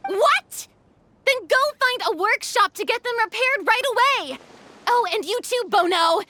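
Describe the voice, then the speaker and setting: Bossy young film director, very high-pitched, bright and forceful, animated, excitable, anime game acting. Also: female voice, unhurried, full character voice. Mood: commanding.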